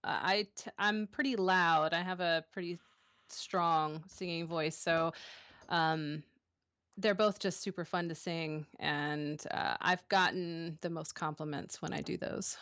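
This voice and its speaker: A woman's voice: fairly low pitch, steady tone, unhurried, fairly bright tone, no echo. A woman in her thirties, a streamer answering interview questions in a casual, hesitant way, with many ums and restarts. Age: 30s. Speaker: streamer guest.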